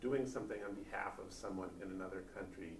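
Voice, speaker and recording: Male interviewer, deep voice, off-mic in an echoey room. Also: creaky. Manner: halting, repeats words. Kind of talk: interview questions. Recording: distant, roomy, faint noise.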